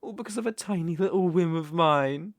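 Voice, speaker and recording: A man's voice: a little high, brisk, vocal fry, no room sound, clean studio sound. A British man playing a cruel, doting sorcerer in an ASMR roleplay, cooing baby talk with smug menace. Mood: gloating. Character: gloating, doting, cruel male sorcerer captor.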